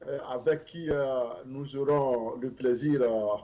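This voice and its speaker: Man, mellow dark voice, halting with fillers, dull distant call audio. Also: medium pitch, steady tone. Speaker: panelist guest.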